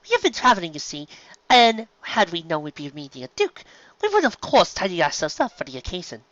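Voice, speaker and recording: A woman's voice: fairly low pitch, big pitch swings, fairly quick, fairly bright tone, dry recording, voice pushed up. A woman voicing a refined young mare, courteous and dramatic, with genteel, formal phrasing in a fan story reading. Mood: apologetic, polite. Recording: slightly dull, lo-fi recording.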